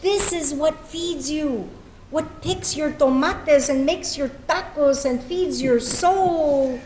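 A middle-aged woman from giving a dramatic, deliberate poetry reading. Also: sits high, a little mellow, slight background noise. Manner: measured, deliberate phrasing. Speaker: poet. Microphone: lectern gooseneck microphone. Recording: echoey meeting room.

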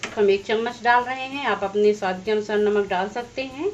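A woman hosting a home cooking channel, calmly explaining each step of a recipe as she goes. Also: medium pitch, brisk. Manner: plain, instructive, walks through each step. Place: home kitchen at the stove. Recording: slightly dull lo-fi sound with faint music.

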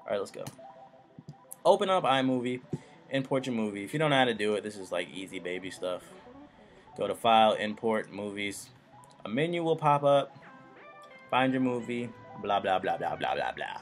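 A young man with a somewhat low voice walks viewers through a tutorial in a loose, joking way. He is recorded on a built-in computer mic in a small room at home, with faint music behind him. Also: expressive, normal speed, pauses often. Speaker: YouTube tutorial host. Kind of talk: casual screen-recorded tutorial.

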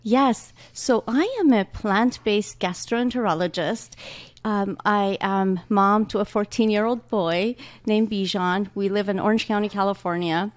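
A woman's voice: medium pitch, measured pace. A woman in her forties from Iran with a slight Iranian accent, a doctor speaking earnestly as an interview guest into a studio mic. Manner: earnest, warm, laughs often. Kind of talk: casual interview talk. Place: small home studio room. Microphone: large studio mic with headphones.

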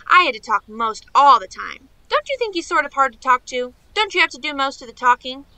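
A woman's voice: sits high, big pitch swings, a little fast, sharp tone, no echo, full character voice. A woman playing a naive, eager young niece in a radio play, chattering on in rambling run-on sentences. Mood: earnest, excited.

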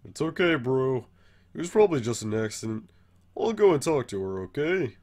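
A man's voice: mid-range voice, sing-song, normal speed, a little mellow, close to an everyday voice. A man voicing a laid-back, wry, protective skeleton older brother in a comic dub, talking in a casual, dry, teasing way. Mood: reassuring. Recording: voice over background music.

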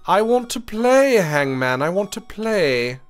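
A man's voice: high-pitched, very animated, slow pace, soft background music. A man giving casual live commentary on a video game and reading its text aloud, playfully whiny when let down.

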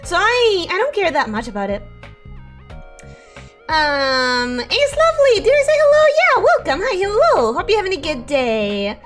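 A young woman streaming casually, her voice hoarse and ragged from sickness, giggling often as she reads chat and greets viewers. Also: very high voice, very animated, normal speed, soft background music.

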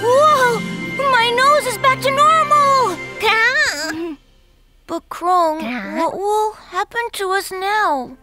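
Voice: very high voice, takes it slowly, pauses often, sharp tone, soft background music, full character voice. An actor voicing an earnest, naive little wooden puppet boy in a cartoon, childlike in manner. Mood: pleading, then tearful. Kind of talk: animated cartoon acting.

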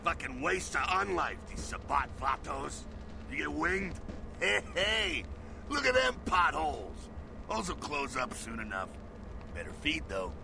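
Gravelly, streetwise vampire mentor. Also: male voice, medium pitch, background noise. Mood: scornful, brash. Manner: slangy, emphatic, swaggering. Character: gruff streetwise male vampire mentor, cynical, darkly amused. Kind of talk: video game character dialogue.

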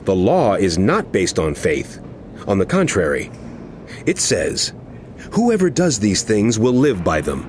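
An actor playing an impassioned male apostle in a dramatized scripture reading, speaking emphatically and deliberately to a wayward flock. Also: on the low side, huge pitch swings, darkish tone, a little hiss or hum. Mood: earnest, instructive. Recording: music under the voice. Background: background music.

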